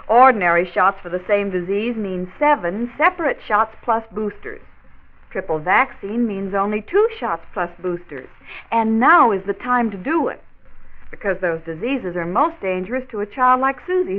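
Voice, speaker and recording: An actress playing a calm, sensible doctor's wife in a 1940s radio drama, explaining things in a measured, reassuring manner. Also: female voice, medium pitch, narrow, tinny audio, close to an everyday voice. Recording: band-limited old radio recording.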